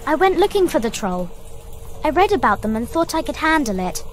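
High-voiced earnest schoolgirl. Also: sing-song, fairly quick, a little hiss or hum. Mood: contrite. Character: earnest young schoolgirl witch, bookish and contrite. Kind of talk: video game cutscene acting.

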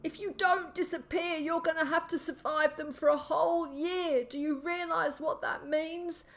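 A woman's voice: extremely high pitch, steady tone, a little slow, fairly bright tone, voice pushed up. A woman voicing a crazed, terrified sick man in an audiobook, frantic and gasping as he gives desperate warnings. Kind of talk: audiobook character acting.

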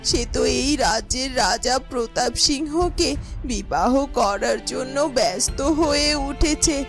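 A woman voicing a troubled, caring palace garden-keeper who muses to herself. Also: fairly high pitch. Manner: musing, self-questioning.

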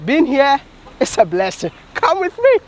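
A young man hosting a travel vlog, talking casually and excitably to the camera outdoors. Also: extremely high pitch, a little slow. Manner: excitable, joking, chatty. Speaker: travel vlogger host. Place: outdoors on a hillside.

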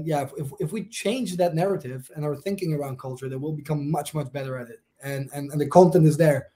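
A Dutch man with a fairly high but mellow, relaxed voice talks as a panel guest on a video call. He speaks in an animated way and often doubles words as he gets going. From Netherlands. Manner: animated, repeats words when starting a point. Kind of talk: casual panel discussion. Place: home room, video call.

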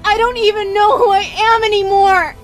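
A woman's voice: extremely high pitch, fairly even intonation, normal speed, music in the background. A young woman playing an emotional teenage magic princess in a cartoon comic dub. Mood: anguished. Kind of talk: fan comic dub voice acting.

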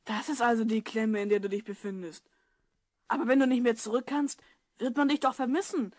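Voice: medium pitch, fairly even intonation, brisk, a little mellow, dry recording, studio quality, close to an everyday voice. A performer playing an eager, curious boy of twelve in an audio drama. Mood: puzzled. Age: child character, twelve. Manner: eager, questioning. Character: Mark, curious, helpful twelve-year-old boy. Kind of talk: German audio drama acting.

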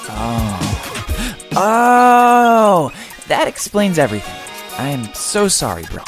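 Excitable teen boy voiced by a woman, blunt, slangy, very animated, visual novel over music. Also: lowish voice, slow pace. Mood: dramatic sympathy. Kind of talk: visual novel character acting. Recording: music behind the voice.

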